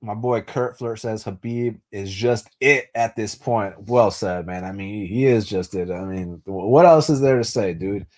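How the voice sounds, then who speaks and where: A man's voice: fairly low pitch, normal speed, few pauses, a little mellow. A young man hosting a casual live stream, answering viewer comments in a loose, profane way full of fillers and restarts. He grew up speaking Spanish first and was raised in the United States. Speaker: host.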